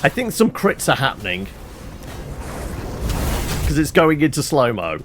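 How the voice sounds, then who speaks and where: A man's voice: medium pitch, huge pitch swings, measured pace, noisy background. A man giving casual running commentary while playing a game, reacting aloud and reading out item notes. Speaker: gameplay commentator.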